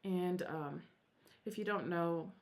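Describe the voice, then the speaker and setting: A woman's voice: on the low side, takes it slowly. A woman whose first language is Korean, chatting at home about her stitching projects in a rambling, self-interrupting way. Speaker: Flosstube vlogger. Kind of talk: casual show-and-tell vlog.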